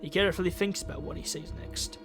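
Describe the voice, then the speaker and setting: A man with a slightly high-pitched voice reads a story game aloud. He narrates quietly in an ASMR-like manner, with music playing behind him. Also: fairly even intonation, fast. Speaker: gaming video creator. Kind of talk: reading a visual novel aloud, quiet ASMR-like narration with side comments.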